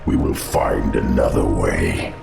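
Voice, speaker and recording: Huge creepy undead bug-king, super deep gravelly growl, slow, echoey, music behind. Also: lively intonation, exaggerated voice. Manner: slow, solemn warnings. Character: ancient undead spider-lord, grim, wary. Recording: echoey game audio over score.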